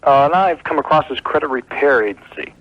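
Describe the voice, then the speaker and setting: Quick-talking man on a dull call-in line. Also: medium pitch, animated, no echo. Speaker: radio show caller. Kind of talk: casual phone conversation. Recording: dull lo-fi call on radio broadcast.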